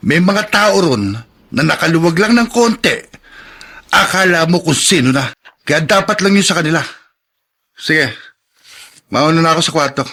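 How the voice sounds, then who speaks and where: A man's voice: on the high side, lively intonation, moderate pace, pauses often, bright, forceful tone. A man playing a bitter father set on revenge, in a radio drama. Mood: harsh.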